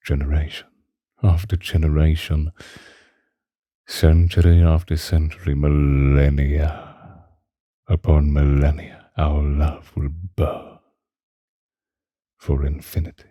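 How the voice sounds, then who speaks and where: A man playing a possessive, doting ancient vampire lover in a breathy, intimate ASMR roleplay, close to the microphone. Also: dry recording, exaggerated voice. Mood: devoted, giddy. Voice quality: very deep, dark, husky, breathy, often whispering. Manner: slow, intimate, old-fashioned romantic wording. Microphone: close microphone, dry.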